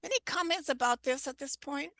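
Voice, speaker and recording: A woman in her seventies giving an informal presentation over a video call, unhurried and halting, with a scratchy voice and frequent throat clearing. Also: sits high, a bit bright, no room sound, studio quality. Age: 70s. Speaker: guest presenter on wellness.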